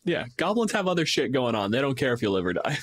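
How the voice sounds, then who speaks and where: Male podcast co-host, mid-range voice, talking through game ideas casually with quick acted-out examples. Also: mid-range voice, big pitch swings. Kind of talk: casual tabletop gaming discussion.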